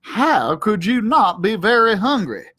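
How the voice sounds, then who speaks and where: A man's voice: sits high, big pitch swings, a little slow, pushed and bright, slightly rough, pitched above the performer's own voice, exaggerated voice. A man voicing a bossy, self-important male dog who leads in a children's storybook, with a pompous, commanding manner. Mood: indignant.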